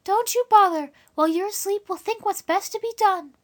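A woman voicing an earnest, anxious young girl who speaks in a high, urgent voice. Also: fairly quick.

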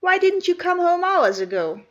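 A woman voicing a stern, sharp-tongued woman servant who scolds children. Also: very high-pitched, big pitch swings, normal speed, voice pushed up, heavily acted. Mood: scolding.

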